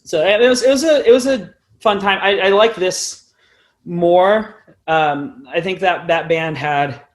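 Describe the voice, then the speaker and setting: A man's voice: sits high, a little slow. A man in his twenties chatting casually as a musician being interviewed, rambling and self-deprecating. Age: 20s. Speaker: podcast guest, band musician.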